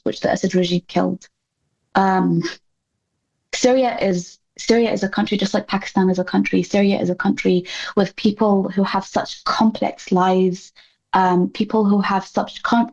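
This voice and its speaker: A woman's voice: mid-range voice, darkish tone, highs cut off. A woman speaking as an interview guest, articulate and impassioned, giving earnest answers with emphatic repetition.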